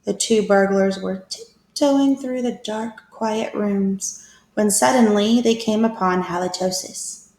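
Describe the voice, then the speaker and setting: A woman reading a picture book aloud to children as a teacher, with expressive, deliberate storytelling. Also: mid-range voice. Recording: echoey room.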